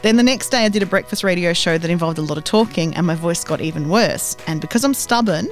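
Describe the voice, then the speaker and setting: A woman's voice: lowish voice, quick pace, soft background music. A woman co-hosting a podcast, playfully teasing her co-host while explaining with mock authority. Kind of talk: scripted podcast chat with banter. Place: recording studio.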